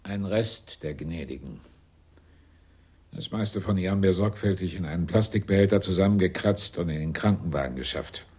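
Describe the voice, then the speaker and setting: An actor in a German radio play as a blunt, grumbling fire captain explaining things matter-of-factly. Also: male voice, low voice, normal speed, mellow, slightly rough. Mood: dry, matter-of-fact.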